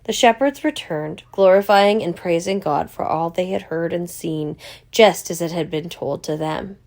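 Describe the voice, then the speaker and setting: A woman's voice: fairly low pitch, normal speed, darkish tone. A woman giving a formal scripture reading as a reader at a church service.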